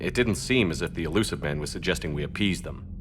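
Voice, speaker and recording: Low-voiced resolute military commander. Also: male voice, lively intonation, over music. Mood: businesslike. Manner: firm, measured, determined. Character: resolute human male military commander, calm and steady. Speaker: player character military commander. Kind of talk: video game voice acting.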